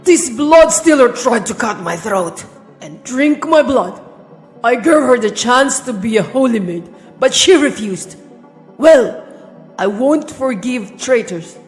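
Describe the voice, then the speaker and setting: A stern, vengeful king voiced by a woman in an animated story. The delivery is loud and declamatory. The voice sits high, with long reverb and music underneath. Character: tyrant king, loud, vengeful, condemning a traitor publicly.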